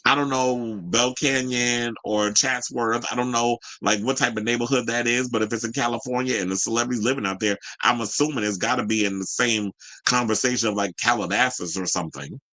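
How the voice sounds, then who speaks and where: A man's voice: medium pitch, steady tone, brisk, sharp tone, no echo, poor audio. A man hosting casual gossip commentary, chatty and candid, with a sassy, colloquial way of talking to his audience. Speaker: host of a commentary show.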